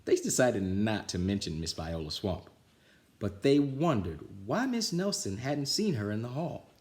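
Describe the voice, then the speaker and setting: A man reading a children's picture book aloud as storytime, with expressive, dramatic phrasing. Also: lowish voice, unhurried. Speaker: storytime reader.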